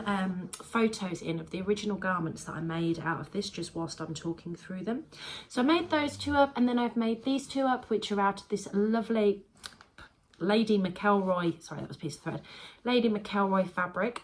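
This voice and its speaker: A woman's voice: on the low side, a bit bright. A woman chatting casually to camera about her sewing projects, a bit congested and bunged up, rambling with lots of "um"s. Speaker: sewing vlogger.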